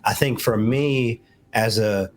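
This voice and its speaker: A man's voice: fairly low pitch, slow pace, slight background noise. A man speaking as a talk show guest on relationships, explaining his views in an informal, conversational way with asides.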